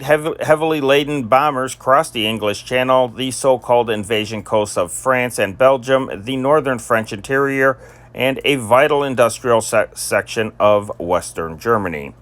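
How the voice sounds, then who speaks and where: A man's voice: medium pitch, a bit bright. A man reading scripted, old-time newspaper stories as a newsreader, in a formal announcer delivery with an amateur touch. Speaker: amateur newsreader and channel host.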